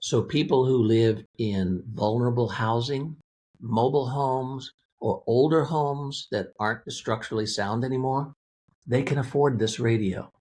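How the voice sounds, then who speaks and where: A man's voice: medium pitch, a little slow, a little mellow, husky. A man speaking as an interview guest, explaining a product in a plain-spoken, methodical, conversational way. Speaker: interview guest, weather radio company representative.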